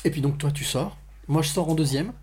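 A man's voice: a little high, fairly quick, noisy background. A man hosting a conversational podcast interview, often repeating and rephrasing as he goes. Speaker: podcast host.

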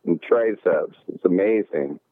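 A man's voice: deep voice, unhurried, no echo. A man in his forties, calling in to the show and trading profane, joking banter. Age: 40s. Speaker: regular co-host on a call-in. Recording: tinny phone line.

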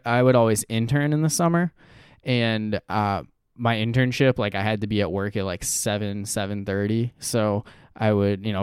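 Smooth low-voiced young man chatting. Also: no echo, studio quality. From New Hampshire. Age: young adult. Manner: chatty, rambling with fillers. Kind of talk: casual chat.

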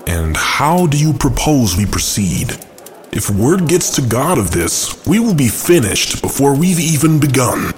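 A man playing a brooding, cunning angel who plots rebellion, speaking in a measured, musing way in an audio drama. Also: mid-range voice, big pitch swings. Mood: sceptical.

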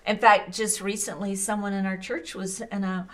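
A woman's voice: mid-range voice, flat delivery, normal speed, fairly bright tone, slight background noise. Affirming woman host who laughs often. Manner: affirming, laughs often, quotes scripture with emphasis. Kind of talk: casual faith conversation.

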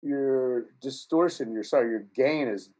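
Casual male musician guest, husky, loose and rambling, swears freely. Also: medium pitch, unhurried, mellow. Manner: rambling, profane, laughs often. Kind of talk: casual podcast chat.

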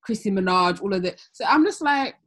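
Husky-voiced woman chatting. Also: mid-range voice, brisk. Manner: animated, heavy use of "like". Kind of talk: casual chat.

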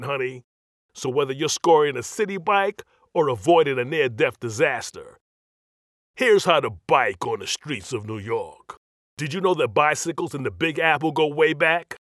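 A man's voice: a little high, animated, normal speed, fairly bright tone, no room sound, clean studio sound. An actor playing a brash, cocky, streetwise New York guy who narrates a comedic scripted voiceover with sassy attitude.

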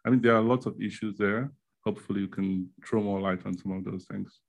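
A man asking detailed questions at a policy seminar, phrasing them deliberately and haltingly. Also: lowish voice, steady tone, vocal fry. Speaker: audience member asking questions.

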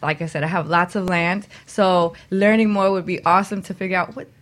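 A woman's voice: lowish voice, fairly even intonation, a little fast. A woman co-hosting a casual radio talk show with easygoing banter. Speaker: radio co-host.

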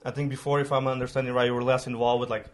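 Male podcast host, monotone delivery, informal and rambling, lots of filler words. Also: medium pitch, racing. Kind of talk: casual conversational interview.

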